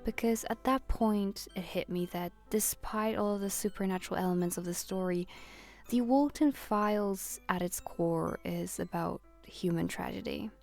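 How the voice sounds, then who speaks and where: A woman narrating a scripted video essay in an earnest, reflective way with measured phrasing. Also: fairly low pitch, darkish tone.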